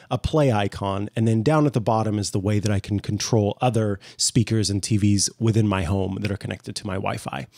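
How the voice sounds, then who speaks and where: A man's voice: lowish voice, a little mellow, no room sound. Young man, close and dry. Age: young adult. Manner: explains step by step. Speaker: host of a tech tutorial show. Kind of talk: conversational software walkthrough. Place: small indoor home office. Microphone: close desk studio microphone.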